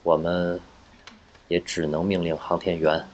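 Legal official played by a man: deep, slow, restrained intonation, stern and deliberate, radio play. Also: little pitch movement, a little mellow, muffled recording. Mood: solemn, stern. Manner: deliberate, weighty.

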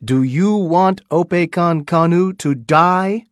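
A man's voice: on the high side, takes it slowly, no room sound, studio quality, pitched above the performer's own voice. A man doing a firm colonial captain. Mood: threatening. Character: bold male colonial captain, steady and commanding.

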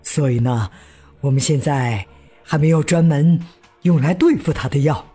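An actor playing a gentle father who patiently explains things to his child in simple words, in a children's radio drama. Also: on the high side, slightly rough, faint music behind. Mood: earnest, explaining. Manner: slow, simple explaining with soft sentence particles.

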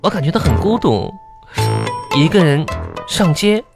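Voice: medium pitch, sing-song, measured pace. A performer playing a childish, clingy, eccentric young woman in a live comic radio drama, whiny and melodramatic. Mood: plaintive, lonely. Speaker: radio comedy actor. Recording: radio broadcast with music behind.

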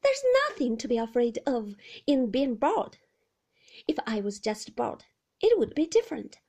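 Woman doing a nervous young wife, high voice, breathy, gets all pleady. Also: very animated, darkish tone, full character voice. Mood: aggrieved. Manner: pleading, emotional, quick to protest. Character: shy, anxious young wife.

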